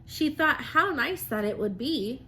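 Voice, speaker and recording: A woman's voice: high voice, expressive, unhurried, pushed and bright, slight background noise. A woman, an elementary teacher reading and chatting to young children, often asking them questions and affirming their answers. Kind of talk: children's read-aloud and drawing lesson.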